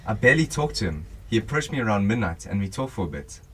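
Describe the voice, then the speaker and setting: A man's voice: on the low side, big pitch swings, quick pace, slightly rough, rough recording. A young man playing a guarded, dry civilian helping police in a radio drama. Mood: defensive. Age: young adult.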